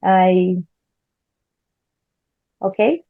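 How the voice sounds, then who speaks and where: A Brazilian woman in her forties speaks extremely slowly in halting beginner English. Her voice comes through a tinny, phone-like call line and sounds dry. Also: lowish voice, dry recording. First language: Portuguese. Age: 40s.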